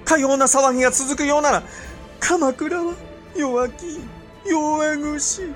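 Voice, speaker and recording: An actor playing an imperious, impatient and suspicious medieval Japanese ruler in a period audio drama, with theatrical, drawn-out emphasis. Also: male voice, very high voice, steady tone, music in the background. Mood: scornful, indignant. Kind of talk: period radio drama acting in archaic speech.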